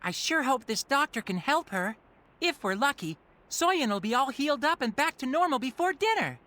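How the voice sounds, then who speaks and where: An actress playing a plucky, cheerful young apprentice, eager and a little cocky, in a video game. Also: female voice, sits high, big pitch swings, normal speed, a bit bright, slight background noise. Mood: chirpy. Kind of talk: video game cutscene voice acting. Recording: music clearly behind the voice.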